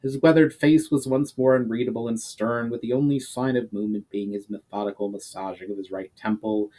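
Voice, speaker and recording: A man's voice: medium pitch, steady tone, fairly quick, warm tone, rough recording. A man narrating a fantasy story as a dramatic reading of his own book.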